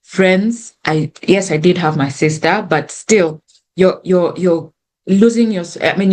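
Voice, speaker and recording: A young woman with a Kenyan accent whose first language is Swahili, earnestly telling her story as a guest on a video call. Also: fairly low pitch. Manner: earnest and reflective, with frequent restarts. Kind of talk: conversational storytelling.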